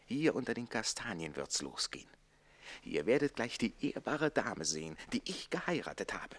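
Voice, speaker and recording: Radio drama bitter, witty manservant: lowish voice, declamatory, defiant, moving from hushed to forceful. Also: male voice, big pitch swings, moderate pace, breathy edge, full character voice. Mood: defiant, conspiratorial. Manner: declamatory, rhetorical, shifting between hushed and forceful. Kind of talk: theatrical German radio play acting.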